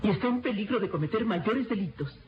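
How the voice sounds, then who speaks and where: A man's voice: sits high, expressive, fairly quick, very noisy. A man playing a grave, foreboding adviser in a radio serial, speaking in an ominous, declamatory way. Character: grave, foreboding adviser warning of evil powers. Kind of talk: dramatic radio serial acting. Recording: dull lo-fi radio, music behind.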